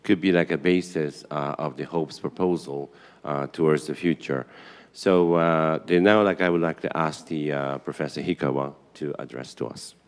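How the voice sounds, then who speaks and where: A man's voice: a bass voice, fairly even intonation, moderate pace, smooth. A man moderating a conference panel, with hesitant speech full of fillers. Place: large conference hall. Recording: reverberant conference hall.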